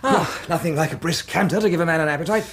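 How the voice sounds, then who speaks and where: An actor playing a hearty, fussy, food-loving English family lawyer in a radio drama, with a blustery, jovial manner. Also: male voice, on the high side, fast talker, over a noisy crowd, natural voice. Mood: hearty, greedy.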